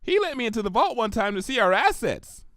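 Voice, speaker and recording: Man doing a high-pitched, excitable girly cartoon pony. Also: fairly quick, no echo, studio quality, full character voice. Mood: laid-back, chatty. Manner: exclaiming, rambling, naive. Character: bouncy excitable scatterbrained young female pony. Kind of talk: animated fan fiction character reading.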